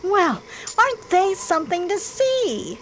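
A woman's voice: very high voice, sing-song, slow, noisy background, full character voice. A woman playing a breezy, confident linguist on an alien world in a science fiction radio drama.

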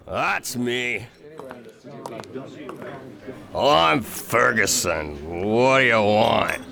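Man playing a gravelly, folksy old-time sea captain. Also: mid-range voice, animated, extremely slow, sharp tone, heavily acted. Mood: grim. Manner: folksy sailor dialect, hesitant storytelling. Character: rough, plain-spoken old-time merchant sea captain. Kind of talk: radio drama acting. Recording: busy crowd noise behind voice.

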